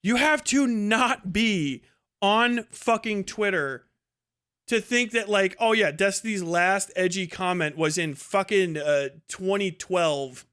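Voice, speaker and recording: A man's voice: sits high, slow pace, fairly bright tone, smooth. A man giving casual, profane live commentary in an exasperated, scornful manner with heavy swearing. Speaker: livestream host reacting to a debate.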